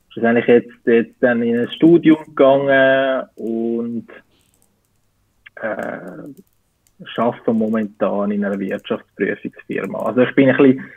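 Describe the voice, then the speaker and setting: Slow-talking Zurich man on a tinny call. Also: medium pitch, no room sound. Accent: Zurich Swiss German. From Switzerland. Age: 20s. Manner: slow, searching for words. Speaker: interview guest. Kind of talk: casual interview answers. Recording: tinny phone-like call audio.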